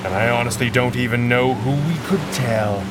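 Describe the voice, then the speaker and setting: A cool-headed masked crimefighter from a 1930s radio adventure, played by a man, speaks in measured, articulate lines with a wry edge. His pitch sits in the middle, and there is clear background noise around him. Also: lightly acted. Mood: pensive, grave. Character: Red Panda, cool-headed masked 1930s male superhero. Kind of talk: 1930s radio serial style acting. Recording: clearly noisy background ambience.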